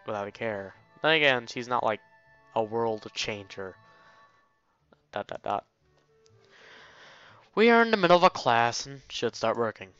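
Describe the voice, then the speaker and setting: A man's voice: mid-range voice, a bit bright, dull audio. A man reading a visual novel aloud casually, dropping in jokey commentary as a let's play host. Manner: jokey asides while reading.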